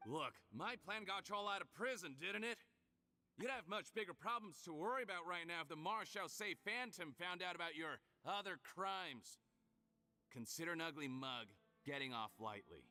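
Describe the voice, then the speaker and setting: A man's voice: high-pitched, animated, moderate pace, sharp tone, soft background music, exaggerated voice. A man voicing a self-serving, cynical criminal schemer in a video game, sardonic and defensive. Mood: defiant, sardonic.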